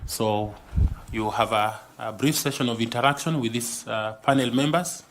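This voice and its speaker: A man chairing a formal interview panel, speaking formally and haltingly with many fillers. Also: mid-range voice, a bit bright. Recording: faint background noise.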